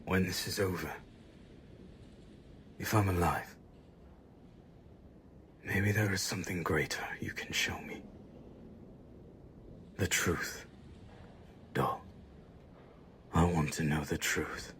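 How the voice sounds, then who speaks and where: A man playing a grim, grieving younger brother and monster hunter in a gothic audio drama, slow and solemn, with a husky, breathy voice. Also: deep voice, fairly bright tone, a little hiss or hum. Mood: weary, resolved.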